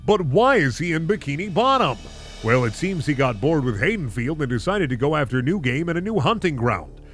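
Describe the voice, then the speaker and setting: A man's voice: fairly high pitch, sing-song, brisk, fairly bright tone. A man hosting a comedic reading of bad fan fiction, narrating with incredulous, sardonic asides. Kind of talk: comedic narration with sarcastic commentary.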